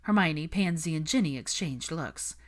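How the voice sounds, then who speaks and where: Female audiobook narrator, lowish register, very animated intonation, for fan fiction prose. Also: moderate pace. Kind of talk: scripted story narration.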